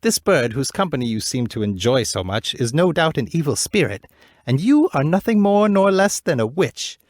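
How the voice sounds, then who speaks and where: A man voicing a wicked, scheming queen who speaks scornfully and accusingly, pitched above his own voice. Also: sing-song, moderate pace, no echo, studio quality. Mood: furious.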